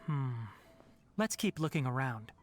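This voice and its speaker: An actor playing a calm young male scholar in a video game, reasoning things out in a measured, analytical way. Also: mid-range voice, soft background music, lightly acted. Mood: thoughtful, investigating. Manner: measured, deductive.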